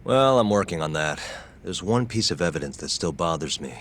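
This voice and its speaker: A man's voice: sits low, animated, fairly quick, fairly bright tone, slight background noise. A man voicing a defense attorney in a video game cutscene, speaking in a level, self-assured way. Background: game score music.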